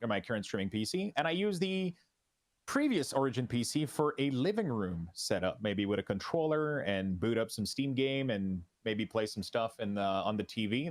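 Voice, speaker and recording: A man with a French Canadian accent, French his first language, chatting casually as a streamer guest in an interview, relaxed and halting with many restarts. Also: fairly low pitch.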